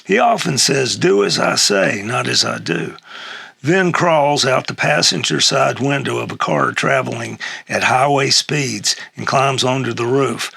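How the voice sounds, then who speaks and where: An older man with an American accent and a gravelly, husky voice, reading a humorous personal essay aloud in a halting way. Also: medium pitch, expressive, bright, forceful tone, dry recording. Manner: halting, with throat clearing and chuckles.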